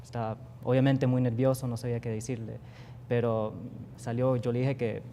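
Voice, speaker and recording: A man's voice: mid-range voice, flat delivery, moderate pace, dark tone, slight background noise. A young man with a Costa Rican accent, a guest artist speaking earnestly and reflectively in a TV studio interview, with frequent fillers. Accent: Costa Rican Spanish. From Costa Rica. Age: young adult.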